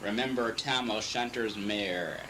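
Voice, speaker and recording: A man's voice: fairly low pitch, measured pace. A man giving a theatrical, declamatory dramatic reading of poetry. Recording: clearly noisy recording.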